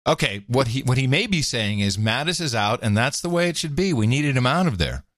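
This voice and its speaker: A man's voice: medium pitch, sing-song, fairly quick, fairly bright tone, no echo, studio quality. A man co-hosting a podcast in casual chat, wry and joking, often laughing. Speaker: podcast co-host.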